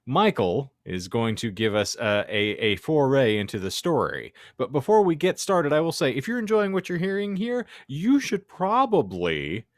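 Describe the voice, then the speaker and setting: A man's voice: mid-range voice, sing-song, normal speed, few pauses, dry recording, clean studio sound. A man hosting a podcast, delivering a theatrical introduction with wordy, mock-grand phrasing and casual banter. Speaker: podcast host.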